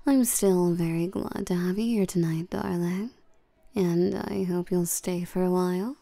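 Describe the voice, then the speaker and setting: A woman playing a regal, seductive and domineering vampire queen in a scripted roleplay audio drama, coaxing and commanding the listener with soft menace. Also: lowish voice, steady tone, measured pace, gravelly, no room sound. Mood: gracious, flirty.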